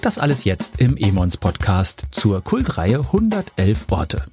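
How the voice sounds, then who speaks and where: A male announcer speaking a podcast intro slowly and sing-song over drum music. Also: fairly low pitch, huge pitch swings. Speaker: show announcer.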